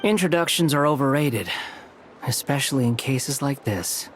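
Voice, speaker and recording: An actor playing an aloof, sardonic young scholar with a dry, curt delivery in a game cutscene. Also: male voice, medium pitch, animated, moderate pace, background noise, natural voice. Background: game soundtrack music.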